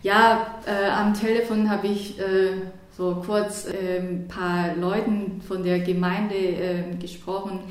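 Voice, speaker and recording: A woman's voice: mid-range voice, little pitch movement, takes it slowly, hardly any pauses, very echoey. A woman from South Korea with a Korean accent, a church worker answering interview questions in a hesitant way, with many fillers and restarts.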